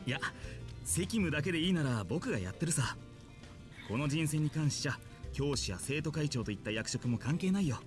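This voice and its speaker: An actor playing an easygoing, gently teasing male schoolteacher in a Japanese visual novel. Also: on the high side, lively intonation, very fast, over music, close to an everyday voice. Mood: easygoing explaining.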